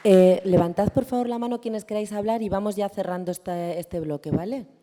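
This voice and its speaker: A woman moderating an assembly, summarizing the debate briskly in a conference hall. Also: mid-range voice, mellow. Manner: brisk, organizing. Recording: reverberant hall.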